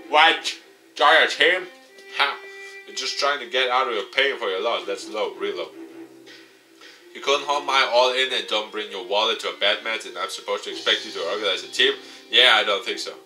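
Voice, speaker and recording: A man's voice: lowish voice, normal speed, sharp tone, faint music behind, low-quality recording. A man playing a cocky, scornful young boy gamer in a visual novel, brash and taunting.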